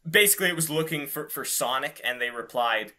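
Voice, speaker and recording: Lively, bright-voiced male podcast host. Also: mid-range voice, a little fast. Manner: giggly, irreverent. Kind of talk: comic fanfic reading with casual banter.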